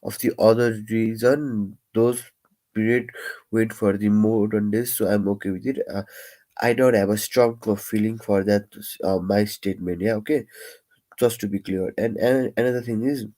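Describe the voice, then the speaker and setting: A young man who stammers, talking in a casual, reflective live-stream monologue with many pauses, fillers and restarts. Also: on the low side, fairly even intonation, warm tone.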